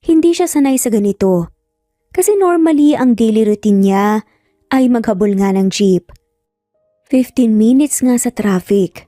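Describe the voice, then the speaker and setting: A woman's voice: a little high, animated, a little slow, long pauses, warm tone, no room sound, studio quality, faint music behind. A woman with a Filipino accent telling a story in scripted, dramatic narration.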